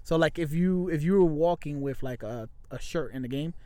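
Man, podcast co-host, casual, blunt, halting, slightly higher voice. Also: dark tone, no echo. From United States. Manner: halting, restarts often, blunt.

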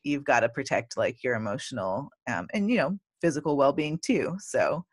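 A woman's voice: a bass voice, expressive, moderate pace, slightly rough, no room sound, clean studio sound. A woman hosting a podcast who chats casually with her guest, using many "like" and "um" fillers. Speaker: podcast host. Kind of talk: casual interview conversation.

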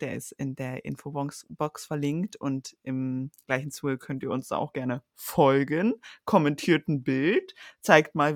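A woman's voice: deep voice, no echo, clean studio sound. A woman in her late twenties from northern Germany, chatting casually and informally as a podcast co-host, rambling along with some self-deprecation. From Schleswig-Holstein, northern Germany.

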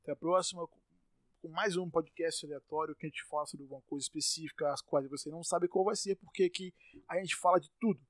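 A Brazilian man hosting his own podcast, ranting in exasperation, with sudden loud outbursts and stumbling, repeated words when he gets worked up. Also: on the high side, fairly even intonation, fairly quick, no room sound. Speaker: podcast host. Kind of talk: solo podcast rant.

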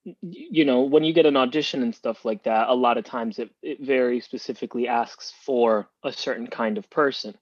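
A man born in Cuba talks loosely as a guest, circling back on his words with plenty of fillers. His voice sits at an average pitch and comes through a dull, muffled remote line. The sound is dry, with no room around it. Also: fairly quick, no room sound. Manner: rambling, many restarts and fillers. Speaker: podcast guest. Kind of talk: casual interview talk.

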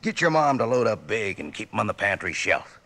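Animated, raspy American radio pitchman. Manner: folksy, jokey, drawn-out emphasis. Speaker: commercial pitchman. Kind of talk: folksy 1950s radio cereal advertising. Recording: vintage radio recording.

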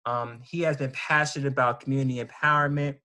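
A man's voice: mid-range voice, steady tone, fast, sharp tone. A man hosting an interview, reading out his guest's introduction with frequent ums and restarts. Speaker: host.

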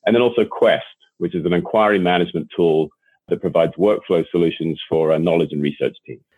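Deep husky Englishman on a phone line. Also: steady tone, brisk, dry recording. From England. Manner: repeats words often as he restarts phrases. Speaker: guest executive. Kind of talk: interview answers. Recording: tinny phone-line sound.

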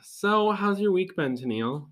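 Relaxed man rambling. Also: sits high, normal speed. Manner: relaxed and rambling, with many fillers and laughs. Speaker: podcast co-host. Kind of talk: casual chat.

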